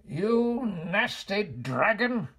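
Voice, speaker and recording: A man voicing a crafty, scolding old witch in a storybook, with theatrical drawn-out exclamations. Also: sits high, very animated, a bit bright, breathy edge, pitched above the performer's own voice, heavily acted. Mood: outraged.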